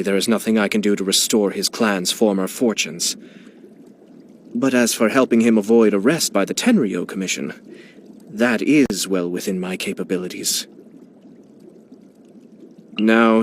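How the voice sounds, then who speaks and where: A man's voice: lowish voice, a little hiss or hum, natural voice. An actor playing a composed young nobleman who heads his clan, speaking in a polished, courteous way with dry amusement. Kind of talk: video game character voicelines.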